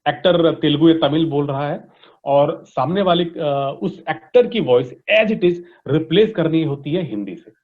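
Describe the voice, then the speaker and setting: A man with an Indian accent speaks in a fairly high voice. He explains things step by step like an instructor, slipping between languages as an interview guest. The recording is dull and slightly lo-fi. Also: expressive, a little slow. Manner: didactic, frequent check-in tags. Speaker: interview guest, voice industry expert. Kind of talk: explanatory interview answers mixing Hindi and English. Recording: dull, lo-fi audio.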